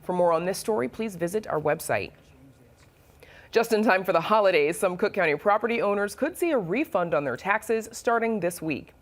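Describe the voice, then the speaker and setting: A woman with an American accent reading scripted news and hosting studio interviews in a crisp, measured broadcast delivery. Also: mid-range voice, animated. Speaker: news anchor and host.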